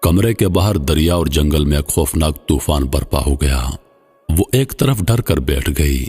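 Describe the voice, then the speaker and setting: A man narrating a scripted moral folk tale in formal literary style, with a measured, solemn delivery. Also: extremely low pitch, lively intonation, a little mellow, breathy edge, faint music behind. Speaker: storyteller, narrator. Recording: long hall-like reverb, slightly muffled voice.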